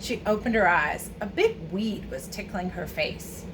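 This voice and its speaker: A woman teacher reads a picture book aloud for children, adding chatty asides. Her intonation rises and falls widely, and clear ambient noise runs behind her voice. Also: medium pitch, lively intonation, measured pace.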